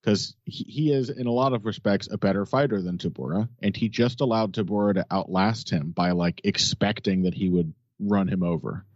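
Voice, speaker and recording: Male podcast co-host, low-pitched, opinionated and dry-humoured, dead-dry muffled recording. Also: normal speed, few pauses, a little mellow, no echo. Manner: blunt, wry, opinionated. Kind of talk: casual analytical chat. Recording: dull dry lo-fi audio.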